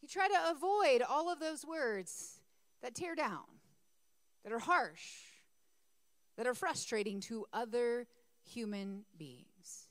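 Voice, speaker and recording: A woman's voice: fairly high pitch, lively intonation, takes it slowly, dry recording, studio quality. A woman pastor who is losing her voice, preaching a conversational sermon in a church and calling on the congregation to answer her. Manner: conversational, asks congregation for responses.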